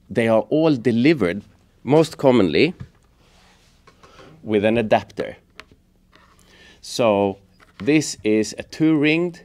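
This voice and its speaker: A middle-aged man giving a casual hands-on tutorial, explaining step by step in a halting way. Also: on the low side, a little mellow. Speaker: host of a how-to motorcycle gear channel. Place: indoors, office workshop room at a table.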